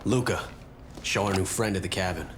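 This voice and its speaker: Low-voiced young soldier played by a man. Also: brisk, background noise, natural voice. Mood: businesslike, firm. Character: determined young male soldier seeking answers about his father. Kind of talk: English-dubbed video game cutscene acting.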